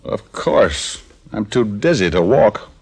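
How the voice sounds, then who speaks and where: An actor playing a wry, wisecracking private detective in 1940s radio drama style. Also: male voice, fairly low pitch, lively intonation, takes it slowly, vocal fry, full character voice. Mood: wry, groggy. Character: wry, battered male private detective narrating his case. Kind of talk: 1940s radio detective acting with first-person narration. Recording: old radio recording with faint music.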